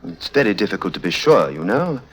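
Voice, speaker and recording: An actor with a British accent playing a weary, guarded diplomat in a 1950s radio drama, clipped and evasive, with a husky voice. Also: male voice, on the low side, very animated, a little fast, fairly bright tone, exaggerated voice, rough recording. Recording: vintage 1950s radio recording.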